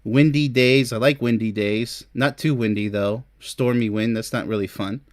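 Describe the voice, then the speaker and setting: A man's voice: medium pitch, steady tone, moderate pace, dry recording, clean studio sound. A man giving informal relationship advice as a host, chatty and emphatic, speaking straight to the listener. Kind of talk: informal advice talk to camera, ranking topics.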